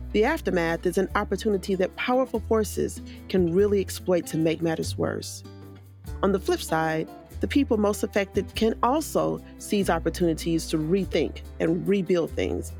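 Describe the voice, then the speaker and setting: A woman from Houston narrating a documentary in a measured, earnest scripted reading. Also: medium pitch, darkish tone. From Houston, United States. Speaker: narrator and co-host.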